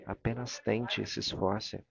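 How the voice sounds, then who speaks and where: A man with a Brazilian Portuguese accent gives a live interpretation of a morning religious talk, halting and repeating phrases as he searches for words. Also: lowish voice, flat delivery, creaky, breathy edge, dull audio. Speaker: interpreter of a spiritual discourse.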